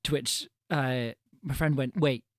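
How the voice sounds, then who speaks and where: A man's voice: medium pitch, unhurried, no room sound, clean studio sound. A man who grew up in Scotland, chatting on a live stream in a self-deprecating way, often repeating his words. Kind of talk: casual chat on a live stream.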